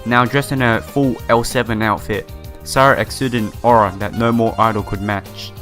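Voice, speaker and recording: A man's voice: fairly low pitch, fairly even intonation, moderate pace, fairly bright tone, faint music behind. A man reading a visual novel aloud in a casual playthrough, stumbling over words now and then and reacting with his own commentary. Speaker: gaming YouTuber.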